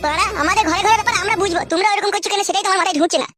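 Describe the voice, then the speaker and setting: A man's voice: very high voice, rapid-fire, music in the background. A man voicing a cheeky, hot-headed young village husband in a comic cartoon, whining and arguing back. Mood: indignant. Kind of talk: comic cartoon dialogue in colloquial rural Bengali.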